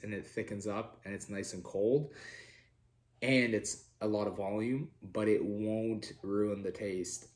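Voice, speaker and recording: A young man with a raspy, slightly nasal voice from being sick, talking quietly to the camera while cooking in his home kitchen. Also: fairly low pitch. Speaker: cooking vlog host. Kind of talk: casual cooking demo. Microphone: camera on the counter.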